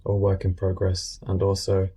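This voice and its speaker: A man guiding a meditation in a gentle, unscripted way, speaking informally and soothingly in short phrases with pauses. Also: low voice, monotone, slightly rough. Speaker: meditation guide.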